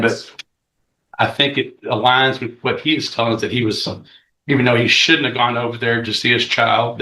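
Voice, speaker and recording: A man with a bright, forceful voice argues as a defense attorney in a courtroom. He speaks haltingly, doubling back and repeating words as he builds his plea. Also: mid-range voice, low-quality recording. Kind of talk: courtroom argument.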